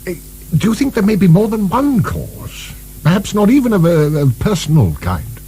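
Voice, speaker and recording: A man's voice: high voice, wildly swooping pitch, moderate pace, soft background music. An actor playing an earnest, fanciful male asylum director in a radio drama, musing and hesitant as he searches for words. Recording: soft recording with faint hum.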